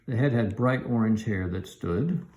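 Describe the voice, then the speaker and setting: A man reading a children's storybook aloud in an animated narrating style. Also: on the low side, moderate pace, slight background noise, poor audio. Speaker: elementary teacher reading aloud.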